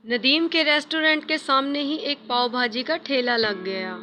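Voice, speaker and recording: A woman's voice: high voice, normal speed. A woman narrating a scripted moral story as a storyteller. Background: music.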